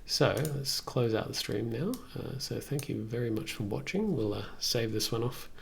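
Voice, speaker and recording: A man in his thirties casually thinking aloud on a live tutorial stream in a halting, musing way. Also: medium pitch, gravelly. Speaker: live streamer demonstrating synthesizer software. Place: indoor room at a computer desk. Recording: faint background noise.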